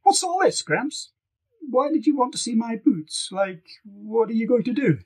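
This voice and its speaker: Teenage girl footballer acted by a man, raised pitch, sceptical, exclaiming questions, audiobook dialogue, slightly husky. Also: big pitch swings, normal speed, exaggerated voice. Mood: eager, puzzled. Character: frustrated, sceptical fifteen-year-old girl footballer.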